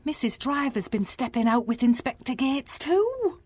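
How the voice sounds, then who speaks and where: High-voiced blunt household cook. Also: female voice, sing-song, normal speed, over music. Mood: frank, probing. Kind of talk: comic period radio drama.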